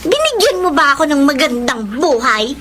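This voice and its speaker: A woman's voice: sits high, big pitch swings, normal speed, heavy background noise. A woman playing an abused wife in a Filipino radio drama, plaintive and emotional, pleading yet defiant. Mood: anguished, defiant. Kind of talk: Filipino radio drama acting with letter narration. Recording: loud background music.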